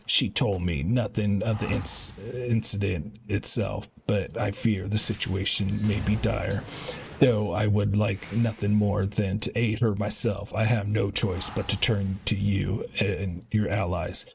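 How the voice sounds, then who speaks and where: A man with a fairly low voice and a noticeable creaky, gravelly edge streams a video game. He reads dialogue aloud and adds casual commentary. There is a faint noise on the recording. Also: fairly even intonation, measured pace. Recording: clearly noisy home stream audio.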